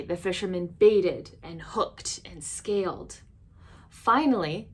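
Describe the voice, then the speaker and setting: A woman reading a children's storybook aloud as an expressive narrator. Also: mid-range voice. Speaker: storybook reader.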